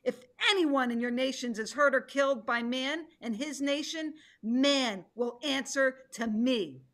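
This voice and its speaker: A middle-aged woman playing a fierce, determined tiger in a dramatic play reading, speaking deliberately and with command. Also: high-pitched, fairly bright tone, a little hiss or hum. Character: fierce female tiger, stern, determined hunter. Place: home room, video call.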